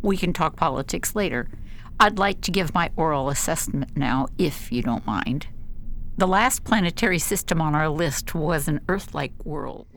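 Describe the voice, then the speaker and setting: A woman's voice: low voice, lively intonation, normal speed, fairly bright tone, slight background noise. A woman playing an assertive, skeptical psychologist in a science fiction radio drama, with a dry, argumentative manner. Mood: dry, firm.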